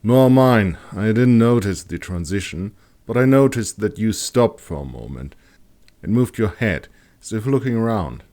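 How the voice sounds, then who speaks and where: A man's voice: fairly low pitch, lively intonation, normal speed, mellow, pitched above the performer's own voice. A man voicing a weathered middle-aged male scout, observant and matter-of-fact, in an audiobook.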